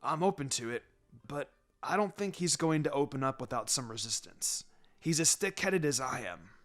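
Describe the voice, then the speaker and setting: A man's voice: a little high, sing-song, normal speed, fairly bright tone. A brash, good-hearted teenage ninja boy, read by a man in a story narration. He speaks bluntly and informally. Music plays behind the voice. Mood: friendly. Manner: blunt, casual. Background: background music.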